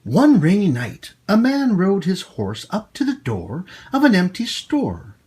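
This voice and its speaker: A man's voice: sits high, wildly swooping pitch, a little slow, dark tone, breathy edge. A man hosting a children's story time, reading aloud expressively and speaking directly to young listeners with exclamations. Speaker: children's storytime host and reader.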